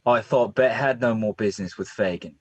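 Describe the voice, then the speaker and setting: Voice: medium pitch, a little fast, darkish tone, husky, dry recording, highs cut off, natural voice. An actor playing a cheeky male street thief in a stage play reading, wry, colloquial and needling. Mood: curious.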